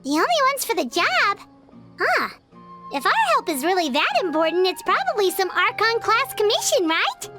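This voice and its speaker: A tiny, brash fairy girl chirps in an extremely high, squeaky voice. Her delivery swoops about with cartoonish curiosity, as game music plays clearly behind her. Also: very animated, normal speed. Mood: puzzled, curious. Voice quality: squeaky, childlike. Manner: exclamatory, speaks of herself in third person. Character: small childlike female fairy companion, perky, curious, brash. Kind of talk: animated video game acting.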